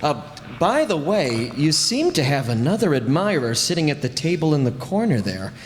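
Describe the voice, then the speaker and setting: A genial gentleman on a date, played by an actor, with a fairly high, animated voice. The old-time radio scene sounds out in a reverberant hall with faint noise. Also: normal speed.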